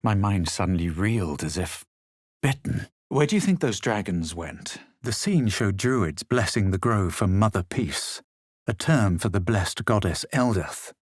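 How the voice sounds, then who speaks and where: A man playing a haughty, self-assured young adventurer in a fantasy video game, speaking in a measured, theatrical way. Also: on the low side, animated, dry recording, studio quality. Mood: measured, grave. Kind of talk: dramatic video game voice lines and narration.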